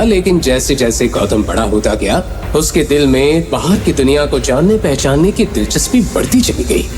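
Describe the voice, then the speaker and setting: Guy narrating a drama serial, really animated voice, music going behind him. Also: on the high side. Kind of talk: dramatic scripted story narration. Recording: background music under the voice.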